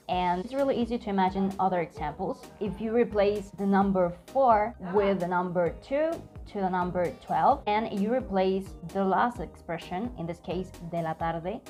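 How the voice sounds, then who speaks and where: A woman's voice: mid-range voice, a little mellow. Cuban-accented woman teaching slang. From Cuba. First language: Spanish. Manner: clear, careful, repeats phrases slowly. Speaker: language teacher, channel host. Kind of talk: slang lesson to camera.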